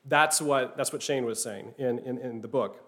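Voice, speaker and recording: A man's voice: mid-range voice, moderate pace, fairly bright tone. A man teaching a class, speaking thoughtfully, often restarting phrases and checking in with "right?". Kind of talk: classroom lecture.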